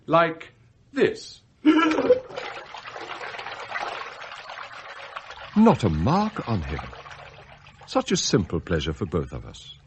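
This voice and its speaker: An actor playing an immaculate, murderous butler in a radio comedy drama, speaking with measured, polite deadpan menace. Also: male voice, fairly low pitch, slight background noise. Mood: deferential, coolly sinister. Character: immaculate, coolly scheming murderous male butler.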